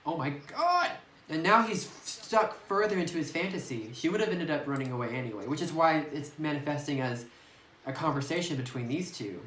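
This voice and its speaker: A let's-play commentator talking casually and thinking aloud about a visual novel, filling every pause with "like", recorded on a phone. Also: mid-range voice, animated, fairly bright tone, a little hiss or hum. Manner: rambling, thinking aloud, heavy use of "like". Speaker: gameplay commentator. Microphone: phone microphone. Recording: roomy echoey phone recording.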